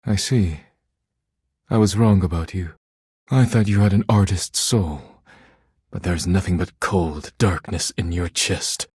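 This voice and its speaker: A man playing a proud, thin-skinned poetic living dish in a video game, speaking in a formal, deliberate, grave manner. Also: deep voice, a little mellow, breathy edge, no room sound, clean studio sound, full character voice. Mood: wounded, cold. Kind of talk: video game character acting.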